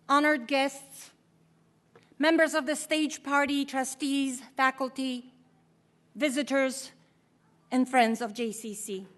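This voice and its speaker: A middle-aged woman from Poland speaks at a podium microphone in a large hall, giving a formal keynote with a measured, deliberate delivery. Her voice sits high. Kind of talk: formal commencement speech.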